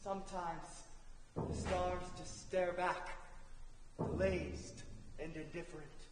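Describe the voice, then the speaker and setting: An actor playing a reflective, earnest old servant man in a stage tragedy, speaking in measured, poetic declamation. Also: high voice, fairly even intonation. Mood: wistful. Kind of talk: theatrical stage acting. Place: school theatre stage. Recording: echoey hall, some background noise.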